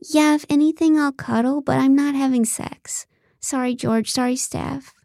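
Audiobook part: blunt young female castmate, read by a woman, emphatic, touchy, higher register. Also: steady tone, normal speed, vocal fry, mellow, no room sound, clean studio sound. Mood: firm refusal.

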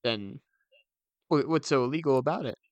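A man's voice: mid-range voice, moderate pace, no echo, muffled recording. A young man chatting casually as a podcast co-host in a playful, joking manner. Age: young adult.

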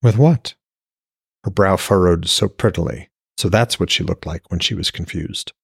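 Low, husky male audiobook narrator. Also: fairly even intonation, normal speed, fairly bright tone, dry recording, studio quality. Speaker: author and podcast narrator. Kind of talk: scripted fantasy audiobook narration.

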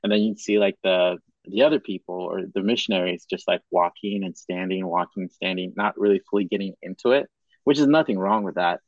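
A young man from Madagascar hosting a podcast, talking in a relaxed, casual way and restarting his sentences as he thinks. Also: low voice, brisk, dry recording, muffled recording. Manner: relaxed, says bro and dope a lot, thinks aloud with restarts. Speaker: podcast host.

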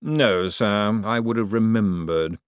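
A man voicing a quiet, deferential male servant who is also an assassin, speaking in a calm, flat way. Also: on the low side, slow pace, clean tone, dry recording, clean studio sound.

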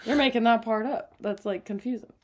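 A young woman co-hosts a relaxed chat with her partner. She sounds bubbly and expressive, laughing readily and gasping when surprised. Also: on the high side, a little hiss or hum. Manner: bubbly, laughs often, quick gasps of surprise. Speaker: podcast co-host. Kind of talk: casual couple's chat.

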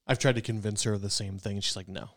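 A man from Wisconsin hosting a podcast, talking things through in a measured, thoughtful way and repeating words as he goes. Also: lowish voice, fairly even intonation, a bit bright, no echo, clean studio sound. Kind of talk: casual podcast chat.

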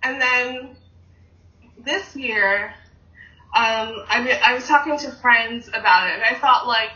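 A woman with a bright, slightly high voice speaks conversationally as an interviewee. The sound is echoey and a little distant from the mic, as she recounts her own experiences. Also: slow pace, muffled recording. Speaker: interviewee, teacher.

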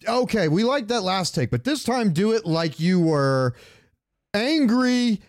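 A man's voice: high voice, sing-song, a little slow, no room sound. Rambling man with a dry, close sound. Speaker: podcast co-host. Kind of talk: casual chat about game voice acting.

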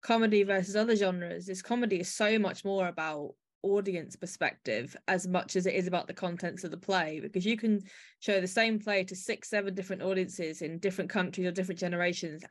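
A woman hosting an interview podcast, speaking in a measured, articulate, explanatory way. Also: lowish voice, steady tone. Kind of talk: interview chat and scripted introduction.

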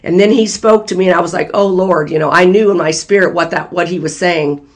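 Hoarse speaker sharing a prophetic word. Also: mid-range voice, a little fast. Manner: earnest, talks straight to viewers as "you guys". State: hoarse, losing voice. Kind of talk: casual spoken prophecy to viewers.

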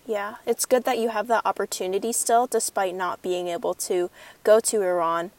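Young adult woman interviewer, creaky fry, dry close sound, halting friendly questions. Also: mid-range voice, fairly even intonation, a little mellow, no echo. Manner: hesitant, many ums, friendly.

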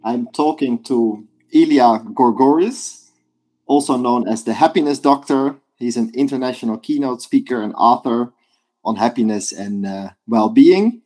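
A man's voice: mid-range voice, a little slow, a little mellow, poor audio. A man hosting an interview podcast, welcoming his guest and asking curious questions with some restarts. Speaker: podcast host.

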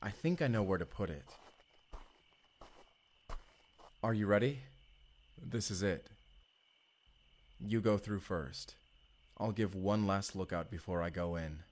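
A man's voice: low-pitched, a little slow, long pauses, a little mellow. A man playing an urgent, determined time traveler in an audio roleplay drama, earnest and intense. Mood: tense, searching. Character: urgent, determined male time traveler, protective of his partner.

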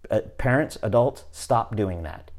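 A man in his fifties hosting a podcast, talking in a relaxed, motivational way and often checking in with "right?". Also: on the low side, measured pace. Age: 50s. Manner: conversational, repeats "right?" often, restarts phrases. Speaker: podcast host. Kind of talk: casual motivational chat.

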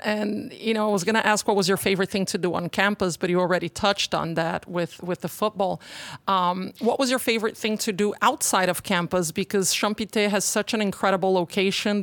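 A woman hosting a podcast interview, reading a polished intro and asking questions in a friendly, smooth manner. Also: mid-range voice, no echo. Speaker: podcast host.

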